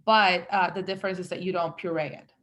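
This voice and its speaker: A woman's voice: lowish voice, steady tone, quick pace, fairly bright tone, dull audio, a little hiss or hum. A woman in her thirties from El Salvador, a guest talking casually about her business with lots of fillers. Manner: chatty, with frequent fillers and self-quotes. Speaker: guest entrepreneur. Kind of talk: casual conversational interview.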